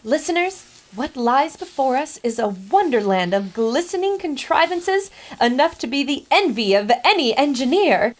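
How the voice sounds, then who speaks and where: A woman's voice: high voice, sing-song, moderate pace, few pauses. A woman playing a plucky, eloquent reporter, acted in a dramatic old-time radio adventure manner. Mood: excited, dramatic. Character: plucky, eloquent female reporter hungry for a scoop.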